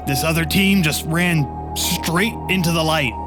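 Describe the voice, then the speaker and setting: A fairly high-pitched, shaken soldier scout, played by a man in a fantasy war roleplay. He reports bluntly and haltingly, with loud music underneath. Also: close to an everyday voice. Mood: shaken, urgent. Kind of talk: improvised tabletop roleplay.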